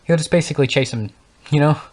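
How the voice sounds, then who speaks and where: A man's voice: a little high, fast talker, darkish tone. Young man rambling and stuttering over gameplay. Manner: stutters and stumbles over words; rambling, thinks aloud with many fillers. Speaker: gaming channel host. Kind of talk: unscripted casual talk over gameplay.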